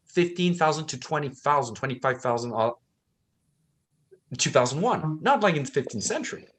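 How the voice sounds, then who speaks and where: A man's voice: on the high side, animated, moderate pace, a bit bright. A man who grew up in Thailand, co-hosting a book discussion, talking with conviction and acting out the people he quotes. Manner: impassioned, acts out quoted speakers. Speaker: co-host.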